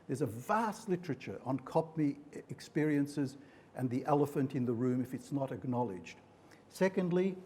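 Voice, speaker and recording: A man with Hungarian as his first language presents to a forum as a clinician. His voice is fairly high for a man, soft-edged and mellow, with a husky grain. He explains concepts in a teacherly way. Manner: explanatory, didactic, speaks in metaphors. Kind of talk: live conference presentation.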